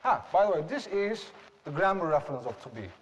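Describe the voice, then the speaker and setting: A young man with a Turkish accent giving a slow, deliberate classroom presentation and asking rhetorical questions. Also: high voice, big pitch swings, big-room sound, lo-fi sound. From Turkey.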